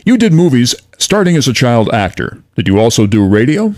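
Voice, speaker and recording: A man's voice: mid-range voice, big pitch swings, fairly quick, darkish tone, dry recording, clean studio sound. A man asking interview questions as the interviewer. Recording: very noisy with clicks.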